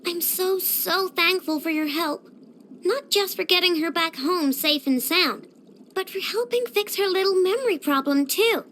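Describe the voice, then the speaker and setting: A woman's voice: very high voice, measured pace, fairly bright tone. A woman voicing a cheerful, proud young girl inventor in a video game, with a bubbly, boastful, childlike delivery. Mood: grateful. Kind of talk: scripted video game character voice lines.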